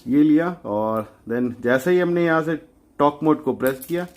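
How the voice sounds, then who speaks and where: Chatty man, higher-pitched voice. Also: a little mellow. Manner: chatty, colloquial, mixes English terms into speech. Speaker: DIY electronics channel host. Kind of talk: informal tutorial demonstration.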